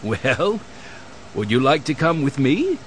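A man voicing a calm, genial grandfather, an older man, in a children's audiobook. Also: mid-range voice, slow, slight background noise.

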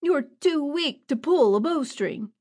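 A woman's voice: high-pitched, expressive, slow pace, a little mellow, voice pushed up. A woman voicing a scornful grown man who jeers at a boy. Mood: jeering. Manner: sneering.

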